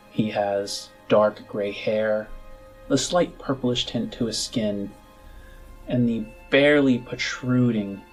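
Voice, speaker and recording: A man narrating a tabletop roleplaying game as game master, improvising in halting, measured phrases. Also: on the low side, low-quality recording.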